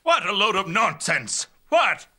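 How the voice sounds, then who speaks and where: Cartoon casting: grumpy, self-important mayor, high for a man, piercingly forceful. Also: very animated, a little slow, heavily acted. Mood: dismissive. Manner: blustering, imperious. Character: pompous, grumpy middle-aged town mayor, bossy. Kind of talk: animated cartoon voice acting, English dub.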